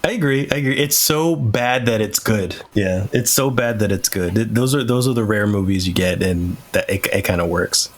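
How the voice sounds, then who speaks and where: A man's voice: fairly low pitch, moderate pace, hardly any pauses, slight background noise. A man speaking as a podcast host in casual, opinionated conversation, swearing freely. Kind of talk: casual chat.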